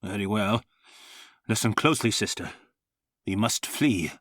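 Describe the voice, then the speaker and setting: A man playing a worried king of an ancient land, speaking gravely and urgently in a visual novel. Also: on the low side, unhurried, fairly bright tone, dry recording, clean studio sound. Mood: grave warning.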